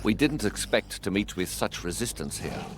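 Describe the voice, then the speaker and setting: An actor playing a battle-weary knight commander in a fantasy game, with a commanding, martial way of speaking. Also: male voice, fairly low pitch, lively intonation, normal speed, over music, lightly acted. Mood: stern, businesslike. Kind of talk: scripted fantasy game cutscene acting.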